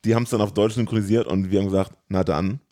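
A man's voice: on the low side, steady tone, rapid-fire, warm tone. A man co-hosting a podcast, chatting casually about films in a colloquial, rambling way. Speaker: podcast co-host.

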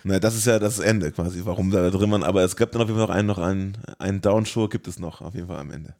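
A man's voice: low voice, fairly even intonation, rapid-fire, a little mellow. A man discussing a film casually as a podcast host, in colloquial and enthusiastic language.

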